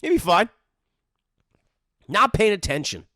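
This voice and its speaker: A man's voice: high voice, very animated, unhurried, pushed and bright, no room sound, clean studio sound. A man casually joking through a cartoon episode commentary, often mock-voicing the characters. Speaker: commentary host.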